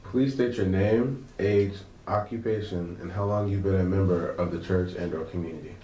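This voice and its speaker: Low-voiced male interviewer, distant. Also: normal speed, mellow. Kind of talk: oral history interview questions. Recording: echoey room, distant from mic, faint noise.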